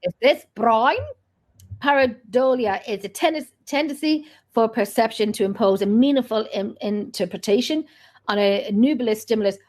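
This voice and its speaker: A woman's voice: on the high side, normal speed, a little mellow. An Irish woman hosting a chat show, talking loosely and laughing often. She drops in the odd mild swear word and tells stories with a rambling, friendly ease. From Ireland. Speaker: podcast host. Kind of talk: casual chat.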